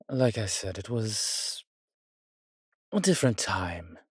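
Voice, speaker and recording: A man acts as a darkly charming, world-weary vampire in an intimate roleplay audio. His voice sits low and he speaks very slowly, in measured, courtly phrases. The recording is close and dry, addressed softly to a single listener as a fond protector. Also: very animated, husky, no room sound, exaggerated voice. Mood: rueful.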